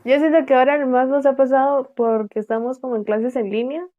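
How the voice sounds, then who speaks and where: A woman's voice: high voice, steady tone, a little fast, a little hiss or hum. Young Guatemalan woman host, tinny phone-like sound. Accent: Guatemalan Spanish. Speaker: podcast host.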